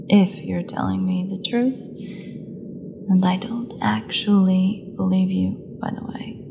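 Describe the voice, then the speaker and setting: A starving female vampire held captive, acted by a woman in a dark audio drama. Her voice is mellow and creaky, with a taunting, flirtatious edge that barely covers her desperation. She often slips into breathy half-whispers, over faint background noise. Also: mid-range voice. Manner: sardonic, teasing, breathy half-whispers, slow deliberate delivery. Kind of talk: scripted audio roleplay monologue.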